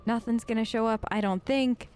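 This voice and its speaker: A woman's voice: fairly high pitch, steady tone, normal speed, noisy background. A woman doing improvised role-play commentary over a video game in a sassy, sarcastic, conversational way. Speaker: gaming video host.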